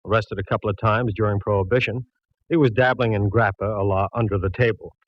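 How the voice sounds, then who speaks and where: An actor playing a genial, teasing homicide inspector in a 1940s radio detective drama, joshing and slangy. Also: male voice, on the low side, fairly even intonation, fast talker, no room sound, close to an everyday voice. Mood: easygoing. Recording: muffled, dry 1940s radio recording.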